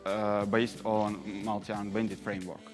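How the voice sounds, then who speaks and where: A man's voice: fairly low pitch, level tone, unhurried, music in the background. A man from Russia, a PhD researcher, stating his research plainly. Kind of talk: short video self-introduction.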